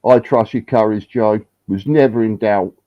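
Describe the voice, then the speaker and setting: A man's voice: lowish voice, moderate pace, dry recording, highs cut off. A man on a video call, chatting and teasing friends in a playful, exaggerated way, his nose blocked from hay fever. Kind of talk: casual joking chat on a video call.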